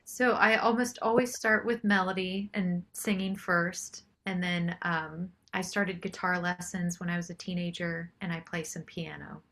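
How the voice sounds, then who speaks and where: A woman's voice: on the low side, level tone, measured pace, hardly any pauses, fairly bright tone. A woman chatting casually as a singer-songwriter guest in an interview.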